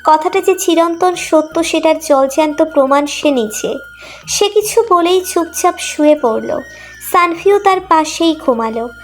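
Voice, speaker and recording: High voiced lady narrating an emotional love story, reading from script, soft music behind. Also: measured pace.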